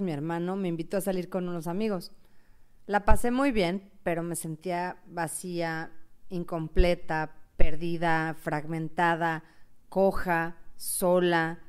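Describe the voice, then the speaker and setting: A woman playing a heartbroken, anxious young woman who tells her own story in a radio novel, in a clear, smooth voice. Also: fairly low pitch, close to an everyday voice. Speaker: narrator and actress in an audio novel. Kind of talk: dramatized first-person radio novel narration.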